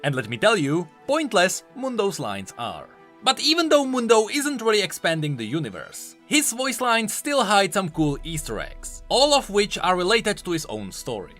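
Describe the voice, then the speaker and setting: A man narrates game lore commentary as a video host. His voice is bright and fairly high, with extremely animated, emphatic swings in pitch. Music runs underneath. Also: moderate pace, few pauses. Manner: emphatic, swooping delivery. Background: background music.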